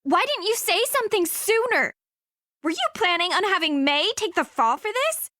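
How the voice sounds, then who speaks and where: A high, bright young woman's voice, very animated. She is an indignant game character with a sharp tongue. Every line comes out accusatory. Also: normal speed, no echo, studio quality, exaggerated voice. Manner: biting, accusatory. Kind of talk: video game voice acting.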